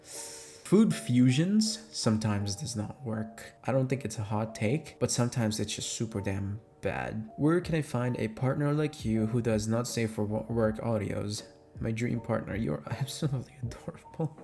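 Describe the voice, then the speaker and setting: A man's voice: mid-range voice, animated, moderate pace, gravelly, dark tone. A man with a Filipino accent chatting casually and candidly to listeners, answering questions in an informal way with the odd swear word. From Philippines. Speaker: ASMR voice actor and creator. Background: music.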